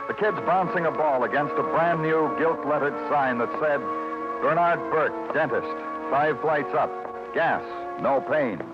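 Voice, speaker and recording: An actor playing a world-weary New York police detective in an old radio crime drama, speaking and narrating tersely with an American accent. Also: male voice, fairly high pitch, a little slow, lightly acted. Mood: brooding. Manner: terse, hard-boiled, brooding delivery. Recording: dull old radio recording with prominent music.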